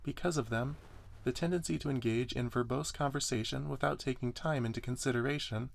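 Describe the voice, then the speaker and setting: Man playing a courteous young robot girl, earnest, verbose, formal, raised voice, soft ASMR storybook reading. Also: medium pitch, a little fast, vocal fry, voice pushed up, lightly acted. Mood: earnest, matter-of-fact. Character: polite, chatty, earnest young female service robot.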